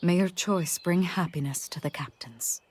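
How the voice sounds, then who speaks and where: Woman playing a husky, wistful fantasy character. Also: lowish voice, normal speed, darkish tone, close to an everyday voice. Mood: resigned, wistful. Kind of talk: video game English dub acting.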